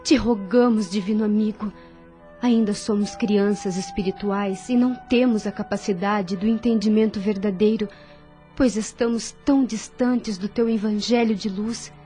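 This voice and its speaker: A woman playing a kind, devout young nurse in an audio drama, with a Brazilian accent and a gentle, earnest manner. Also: medium pitch, fairly even intonation, moderate pace, faint music behind, lightly acted. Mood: prayerful, earnest.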